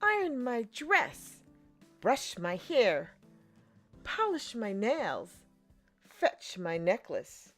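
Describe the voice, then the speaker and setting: A woman voicing a mean, spoiled young stepsister in a storybook, with a demanding, drawn-out delivery. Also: fairly high pitch, huge pitch swings, a bit bright, faint music behind, voice pushed up, full character voice. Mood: bossy, mocking.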